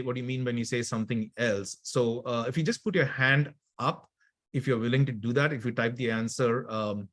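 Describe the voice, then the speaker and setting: A man hosting an interactive online workshop over a video call, chatty, with stammered restarts and easy laughter. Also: mid-range voice. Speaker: workshop host.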